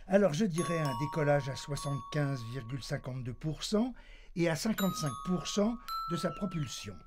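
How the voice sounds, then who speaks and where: An actor playing an older man calling in to a game show, hoarse and hesitant, stammering with many fillers as he claims to be forty. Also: on the high side, lively intonation, a little slow, long pauses, music in the background. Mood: earnest. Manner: hesitant, stammering, full of "euh". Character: older man caller posing as forty, evasive. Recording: noisy recording.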